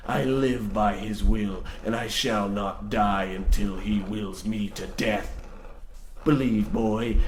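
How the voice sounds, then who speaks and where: A man's voice: medium pitch, lightly acted. An actor playing a crazed, zealous old mine foreman with archaic rustic speech in a radio play. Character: crazed old miner foreman, fanatical believer. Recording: roomy echo, background noise.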